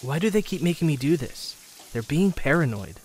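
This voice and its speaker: A man playing a confident, wry teenage boy hero in an animated drama, speaking with an assured manner. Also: fairly high pitch, moderate pace, slight background noise, lightly acted. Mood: annoyed.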